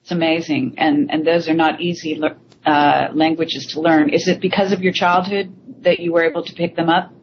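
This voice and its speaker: A woman hosting a radio interview, prompting her guest with halting questions. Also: low voice, steady tone. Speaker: radio show host. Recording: muffled lo-fi line with faint noise.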